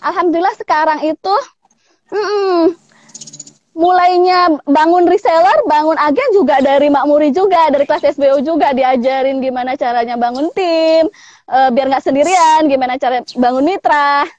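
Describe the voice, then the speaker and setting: A woman's voice: high voice, normal speed, a little mellow, dry recording, muffled recording. A woman from Palembang in her thirties, a food business owner chatting casually in an interview over a video call, with frequent laughs. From Palembang, Indonesia. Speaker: pempek business owner, guest.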